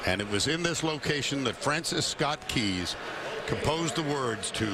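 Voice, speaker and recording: A man's voice: mid-range voice, expressive, normal speed, heavy background noise. A man announcing a televised college football game with emphatic broadcast delivery. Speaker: play-by-play announcer. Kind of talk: sports broadcast commentary. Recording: loud music bed underneath.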